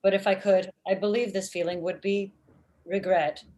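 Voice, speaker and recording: A woman's voice: medium pitch, fairly even intonation, normal speed, darkish tone, close to an everyday voice. A woman voicing an earnest, literal-minded robot with stilted formal phrasing in a comedy table read over a video call. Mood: uneasy. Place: small room at home, video call. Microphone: video call computer microphone.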